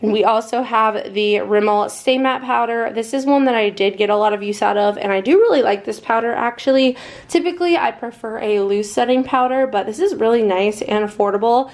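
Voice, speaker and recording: A chatty young woman talks fast and upbeat through a makeup vlog. Her voice sits a bit high and is slightly hoarse after a weekend of cheering. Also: a little mellow. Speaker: beauty vlogger.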